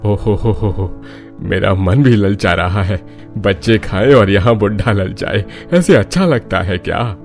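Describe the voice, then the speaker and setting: A man's voice: fairly low pitch, measured pace, creaky, a little mellow, faint music behind. A man playing a playful, food-loving old village grandfather in a cartoon. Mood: teasing, craving.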